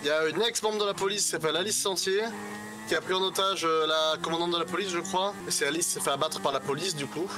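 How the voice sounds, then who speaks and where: A man's voice: on the high side, lightly acted. Guy playing a caring busy relative in game rp, rattles it off casually, music behind. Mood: concerned, informal. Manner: casual, hesitant, quick bursts. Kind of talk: improvised game roleplay.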